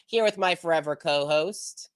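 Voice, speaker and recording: A man's voice: on the high side, moderate pace, clear, resonant tone, dry recording, studio quality. A young adult American man hosting a podcast in casual chat, his voice congested from being sick. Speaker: podcast host.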